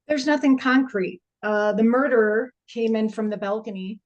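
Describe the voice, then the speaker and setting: A woman plays a middle-aged forensic investigator in a horror film script. Her voice is a touch high. She is matter-of-fact and wry, speaking in a hesitant, conversational way. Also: a little slow, natural voice, low-quality recording. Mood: businesslike, awkward. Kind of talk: actor's table read of an indie horror film.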